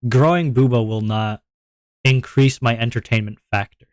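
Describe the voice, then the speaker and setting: A man with a deep voice, streaming and chatting casually to his viewers in a rambling, self-reflective way, often repeating his words. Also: normal speed, no room sound, studio quality.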